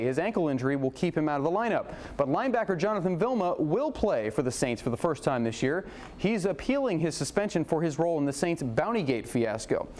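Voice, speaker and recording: A man working as a TV sports anchor, calling highlights in a punchy, colloquial, exclamatory way. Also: darkish tone. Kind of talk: highlights commentary and scripted sportscast. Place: TV studio, voice-over to highlights.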